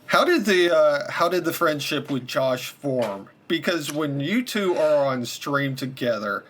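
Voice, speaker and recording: A man hosting a casual video interview, asking relaxed questions with a few fillers. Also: fairly high pitch, measured pace. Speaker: interview host.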